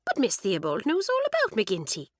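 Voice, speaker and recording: A woman's voice: mid-range voice, wildly swooping pitch, moderate pace, dry recording, clean studio sound, voice pushed up. A woman narrator voicing a cheerful, cheeky Irish schoolgirl with a lilting Irish accent.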